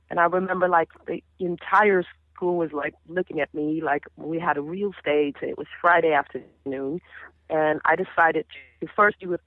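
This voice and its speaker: A woman's voice: fairly low pitch, dry recording. A woman in her thirties from the Caribbean whose first language is Papiamento, telling stories animatedly with many restarts, heard over a phone line. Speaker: singer, interview guest. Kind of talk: casual interview chat.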